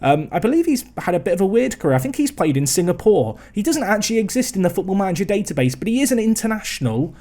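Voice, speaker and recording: Young man, slightly high-pitched, chatty gaming commentary, self-mocking asides. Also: very animated, fast talker, hardly any pauses, warm tone. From England. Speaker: gaming video host. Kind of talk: casual game commentary.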